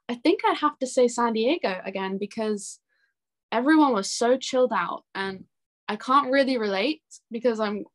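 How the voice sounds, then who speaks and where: Young woman, casual and chatty. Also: medium pitch. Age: young adult. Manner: chatty, giggly, informal. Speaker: interview guest, singer-songwriter. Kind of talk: casual chat.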